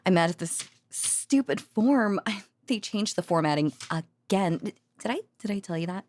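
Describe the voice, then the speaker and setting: A woman playing a tired, affectionate researcher girlfriend in an intimate audio roleplay, talking haltingly with sighs and murmured endearments to a sleepy partner. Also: medium pitch, lively intonation, darkish tone, natural voice. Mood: weary, exasperated. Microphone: close, dry studio microphone.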